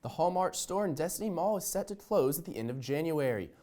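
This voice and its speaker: A young man anchoring a student newscast, reading the stories in a steady, even manner. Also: on the high side, a little fast. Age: young adult. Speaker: news anchor. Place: student TV studio.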